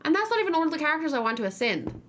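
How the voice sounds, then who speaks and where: High-voiced woman chatting through a game stream. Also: big pitch swings, very fast, a bit bright, a little hiss or hum. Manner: thinking aloud, informal, talking to chat. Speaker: video game streamer, let's play host. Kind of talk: casual live game commentary, chatting with viewers.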